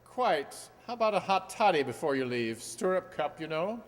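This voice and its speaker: A man playing a shrewd, courteous gentleman detective with a wry manner in an amateur radio play. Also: a little high, big pitch swings, normal speed. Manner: polite, wry, knowing. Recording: reverberant hall-like radio play recording.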